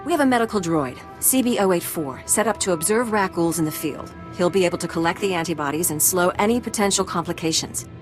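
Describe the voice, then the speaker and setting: Woman as a low-voiced, wry lady scientist. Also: fast, hardly any pauses, soft background music, natural voice. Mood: wry, resolute. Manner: wry, matter-of-fact. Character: dry-witted, brisk female medical researcher. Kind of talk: video game dialogue acting.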